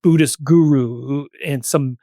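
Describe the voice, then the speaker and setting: A man's voice: medium pitch, measured pace, dark tone. A man with a mid-range voice chats on a podcast panel. He muses in a conversational way, and the sound is very dry, with no room echo. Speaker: podcast speaker.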